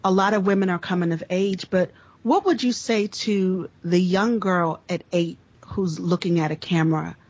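A woman's voice: on the low side, moderate pace, hardly any pauses, darkish tone, dry recording, dull audio. A woman co-hosting a podcast, earnestly building a long question for the guest. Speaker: podcast co-host. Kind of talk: interview question.

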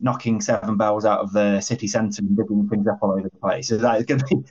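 Male interviewer, husky low voice, chatty rambling questions, muffled audio. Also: steady tone, quick pace, a little mellow.

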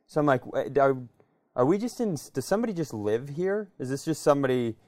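A man's voice: mid-range voice, very animated, a little fast, a little mellow, dry recording, clean studio sound. A man co-hosting a comedy podcast, telling stories casually and riffing in a conversational way. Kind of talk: casual comic storytelling.

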